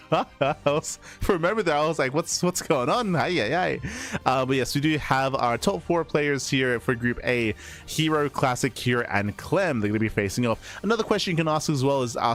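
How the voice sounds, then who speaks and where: Man, esports caster, casual rambling chat, recovering voice, faint background music. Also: mid-range voice. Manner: chatty, rambling, frequent fillers and restarts. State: recovering from losing his voice. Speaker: esports caster and stream host. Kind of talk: casual rebroadcast commentary.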